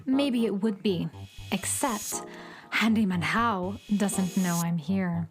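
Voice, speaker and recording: A woman's voice: medium pitch, slow pace, soft background music, lightly acted. A woman playing a petulant, bratty girl troublemaker in a children's radio comedy, sneering and taunting with sarcasm. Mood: smug, resigned. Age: child figure.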